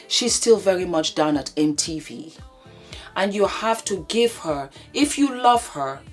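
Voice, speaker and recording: A woman's voice: lowish voice, a little mellow. A woman in her fifties hosting a casual advice vlog to camera at home, with theatrical, affectionate greetings and laughs. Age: 50s. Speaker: vlogger host. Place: home, indoors. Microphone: camera mic.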